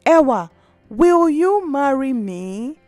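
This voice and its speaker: A woman voicing a kind, sad male beast in a folktale, speaking in a gentle, grave way. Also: high-pitched, very animated, takes it slowly, no echo, soft background music, exaggerated voice. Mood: hopeful.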